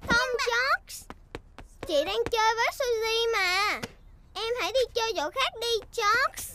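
Very high, bossy little girl piglet. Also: unhurried, heavy background noise. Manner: childlike, bossy big sister. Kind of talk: Vietnamese children's cartoon dub.

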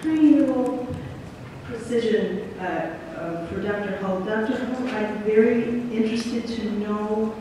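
High-voiced man asking from the audience. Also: a little slow. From Syracuse, New York. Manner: polite, talkative, hesitant. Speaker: audience member asking a question. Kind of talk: conference Q&A question. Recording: far-off mic, echoey hall, background noise.

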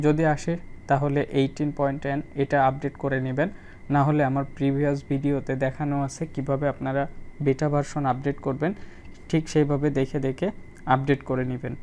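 A man's voice: medium pitch, level tone, fairly quick, darkish tone, a little hiss or hum. A man giving a plain, step-by-step phone tutorial as a channel host. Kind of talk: spoken phone tutorial voice-over.

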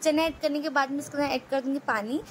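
A woman hosting a cooking channel, explaining a recipe step by step in a steady voice-over and often repeating her phrases. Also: sits high, fast, slight background noise.

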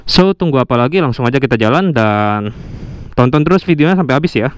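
A man's voice: medium pitch, expressive, quick pace. A young man with a Jakarta Indonesian accent, chatting casually and in slang as a motovlogger. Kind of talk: casual vlog commentary. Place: outdoors, around and riding a motorcycle. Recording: noisy action-camera audio, motorcycle engine and wind. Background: motorcycle and road noise.

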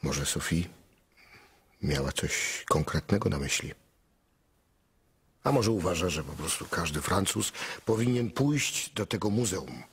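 An actor playing a wry, musing storyteller in a radio play, with a husky voice and unhurried manner. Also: male voice, deep voice, animated, exaggerated voice. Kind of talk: dramatic third-person narration.

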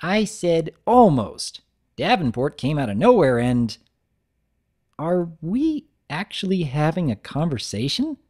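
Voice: mid-range voice, sing-song, a little slow, darkish tone, voice pushed up. A narrator voicing a friendly, mild-mannered married stallion, a cartoon baker, chatty and earnest. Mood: puzzled.

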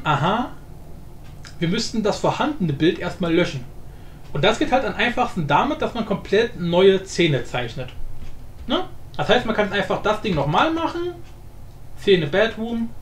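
A man giving a casual, informal software tutorial, explaining step by step. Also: high-pitched. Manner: informal, explanatory, often adds tag questions. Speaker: tutorial host. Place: indoor room, voice-over of screen recording. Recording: roomy echo with faint background noise.

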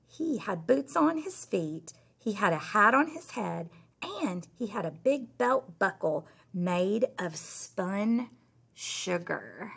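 A middle-aged woman, a teacher, reading a children's story aloud in an expressive, emphatic way. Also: mid-range voice, creaky, husky. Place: indoor school classroom.

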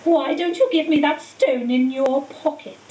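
Woman doing a cold, hissing dark lord. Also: high voice. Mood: menacing. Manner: slow, menacing, shrieks commands. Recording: echoey room, distant mic, faint hiss.

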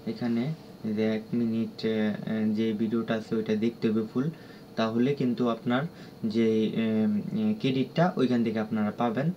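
A man with a Bangladeshi accent giving an unscripted app tutorial in a halting, repetitive, instructional way. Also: fairly low pitch, level tone. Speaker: tutorial presenter. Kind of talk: unscripted screen-recorded app walkthrough. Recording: dull lo-fi audio with clear background noise.

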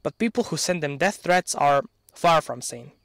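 A young man speaks in a fairly high, light voice into a handheld mic out in an open field. His delivery is earnest and measured, slipping into dry, sardonic asides. The sound is close and dry, with no room around it. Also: animated, vocal fry, fairly bright tone, dry recording. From Bosnia and Herzegovina. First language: Serbian. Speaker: channel owner. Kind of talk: prepared opinion speech. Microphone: handheld microphone.

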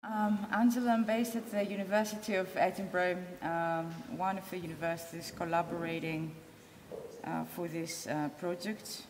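Low-voiced woman in an echoey hall. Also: steady tone, a little mellow, a little hiss or hum. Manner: halting, with frequent ums and uhs. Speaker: academic introducing a speaker. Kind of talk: spoken introduction at a conference. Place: large hall at a lectern. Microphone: handheld microphone. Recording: echoey hall, long reverb.

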